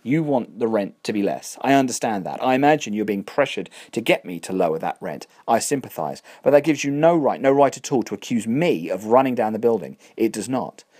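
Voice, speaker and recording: A smug, wealthy landlord, cold and cutting, his low voice rising sharply whenever he is challenged, as a male narrator puts him on. He sounds husky and self-assured, a storybook villain talking down to the people across the table. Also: animated, a little fast, darkish tone. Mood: indignant.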